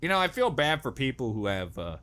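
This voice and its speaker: A man's voice: mid-range voice, sing-song, a little fast, a bit bright, slight background noise. A man giving casual, rambling reaction commentary with jokey, crude humour and exaggerated dread. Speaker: reaction video host.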